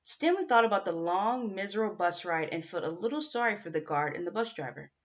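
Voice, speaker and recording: A woman narrates a children's novel in a lively, varied read with wide swings of pitch. Her voice sits at a middle height. The home recording is dull and lo-fi. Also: a little fast. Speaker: audiobook reader.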